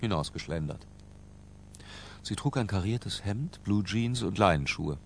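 Low, creaky, obsessive middle-aged intellectual. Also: male voice, expressive, a little hiss or hum. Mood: measured confiding. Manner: hushed, deliberate, breaking into near-whispers. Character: obsessive, lyrical, self-mocking middle-aged European intellectual confessing. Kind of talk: literary first-person radio drama narration.

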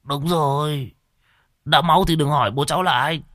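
A man voicing a tipsy, boastful old man who shouts boisterously. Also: a little high, normal speed, voice pushed up, close to an everyday voice. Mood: rowdy.

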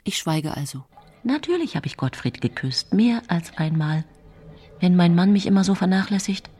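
A deep-toned calculating housewife of the early nineteenth century in a radio play. She confides in an intimate, breathy way that often drops toward a whisper, as if sharing her secrets. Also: lively intonation, moderate pace, dark tone. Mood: sly, confiding. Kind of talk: German radio drama monologue.

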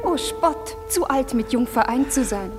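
A high-voiced, plaintive young maiden. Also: female voice, expressive, unhurried, in a large hall, music in the background. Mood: sorrowful. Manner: earnest, plaintive declamation. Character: steadfast, sorrowful young maiden in forbidden love. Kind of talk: classical verse radio drama acting.

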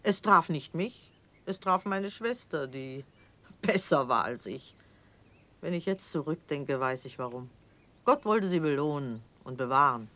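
An older actress in a German radio drama playing a severe, devout old aristocrat with a haughty, grave, theatrical delivery. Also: female voice, low voice, animated, measured pace, a bit bright. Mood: grave, bitter.

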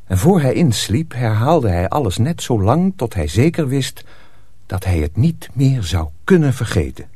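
A man narrating a radio play as a measured storyteller. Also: medium pitch, very animated, mellow, breathy edge, dry recording. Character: measured male storyteller of a dark folk tale.

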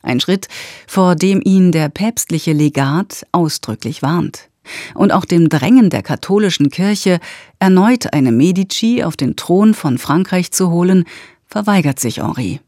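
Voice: mid-range voice, animated, a little slow, a little mellow, no echo, clean studio sound. An announcer giving a formal, factual scripted recap of a radio drama series.

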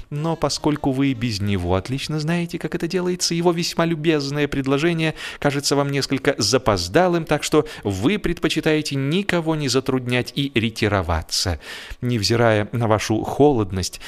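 A man narrating comic literary prose as an audiobook, theatrical and expressive, with deliberate pauses and repetitions. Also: medium pitch. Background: music.

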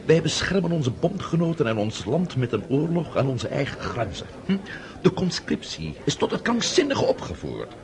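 A man's voice: on the high side, huge pitch swings, a crowd in the background. A male actor playing an older scheming prince and father in a radio drama, coaxing and flustered, stumbling over repeated words. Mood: conversational. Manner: halting, repeats words, coaxing with questioning hums.

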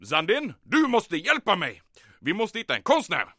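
An actor playing an excitable, demanding German occupation commandant in an old-time radio drama, agitated and exclamatory. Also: male voice, high-pitched, dry recording, clean studio sound, full character voice. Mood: frantic, demanding.

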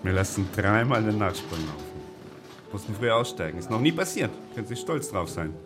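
An actor playing a dry, sardonic studio staffer with an offhand manner in a radio play. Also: male voice, lowish voice, animated, brisk, over music, close to an everyday voice. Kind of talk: radio drama acting.

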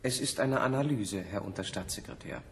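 Quick, insistent male scientist. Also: fairly low pitch, a bit bright. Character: insistent, curt male scientist arguing with officials. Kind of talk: 1970s German radio drama acting.

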